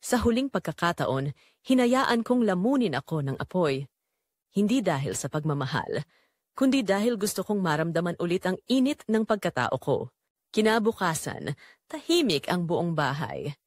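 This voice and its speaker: A woman with a Filipino accent narrating a scripted drama in the first person, measured and emotive with pauses. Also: on the low side, very animated, no room sound, studio quality. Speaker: storyteller.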